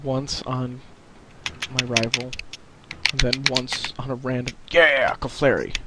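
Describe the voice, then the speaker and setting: A man talks through his game in a casual, foul-mouthed way, musing aloud as he plays, his pitch sitting in the middle. Loud keyboard clatter and clicking run under everything he says. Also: little pitch movement, takes it slowly. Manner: casual, profane, thinking aloud. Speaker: let's play co-host. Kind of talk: casual gaming commentary. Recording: heavy keyboard typing noise. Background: computer keyboard clicks.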